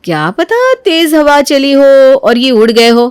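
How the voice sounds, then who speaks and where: A smug sister-in-law in a family morality tale, acted by a woman. Her high voice swings up and down as she needles her rival with pointed, drawn-out mockery. The sound is close and dry. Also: sing-song, no echo. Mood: feigned innocence. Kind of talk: dramatised Hindi moral story voice acting.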